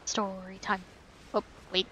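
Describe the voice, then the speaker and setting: A woman's voice: mid-range voice, flat delivery, unhurried, bright, forceful tone, a little hiss or hum. A woman livestreaming a game, chatting casually and cutely to her viewers as she plays. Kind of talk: casual livestream chat while playing.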